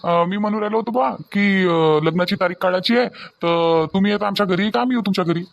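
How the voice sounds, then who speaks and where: A mild village family man from a cartoon comedy, voiced by a man. His voice is high and his delivery quick, with a Varhadi Marathi accent. He is deferential and placating, often hesitating and repeating himself. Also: creaky, highs cut off. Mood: polite, flustered. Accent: Varhadi (Vidarbha) Marathi.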